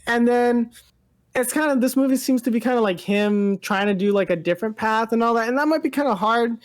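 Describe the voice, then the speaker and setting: A woman's voice: on the high side, brisk. A woman co-hosting a pop-culture podcast in casual chat, rambling and hedging as she talks informally. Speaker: podcast co-host.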